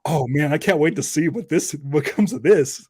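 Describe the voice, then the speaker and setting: A man's voice: fairly high pitch, normal speed, darkish tone, breathy edge, dry recording, clean studio sound. A man hosting a podcast in casual, conversational chat. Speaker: podcast host.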